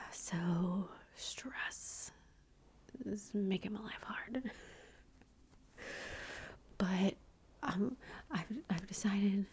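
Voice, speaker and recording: Whispering ASMR woman, dark and breathy. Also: medium pitch. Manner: soft rambling whisper, chatty and confiding. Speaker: ASMR YouTuber.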